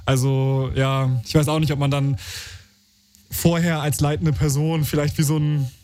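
A man's voice: a little high, level tone, moderate pace, a little mellow. A man co-hosting a live radio call-in show, chatting casually and hesitantly with many fillers.

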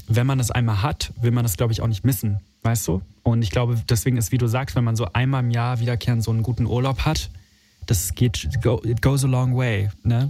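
A man's voice: mid-range voice, mellow. A man in his twenties from Berlin leading a casual conversation, chatty and informal with youthful slang. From Berlin, Germany. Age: 20s. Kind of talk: casual interview chat.

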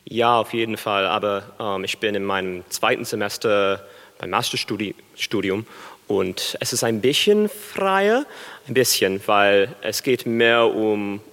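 A young American man, a baritone student, answering interview questions on stage in halting, careful German full of pauses and fillers. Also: expressive, fairly bright tone, in a large hall. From USA. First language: English.

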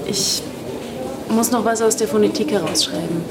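A woman's voice: medium pitch, normal speed, distant mic, noisy background, natural voice. A woman playing a terse young student. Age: young adult. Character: young female linguistics student, cool, terse.

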